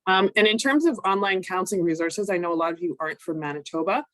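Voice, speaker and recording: A woman, recovering from a cold, presenting an online training session in a measured, explanatory way with frequent ums. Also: fairly low pitch, no echo. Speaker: workshop presenter.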